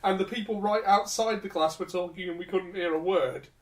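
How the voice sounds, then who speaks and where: A man's voice: high-pitched, a little fast. A young man with a British accent, chatting casually as a podcast co-host in a rambling, chuckly way. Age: young adult. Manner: rambling anecdotes, frequent chuckling. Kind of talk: casual comedic chat.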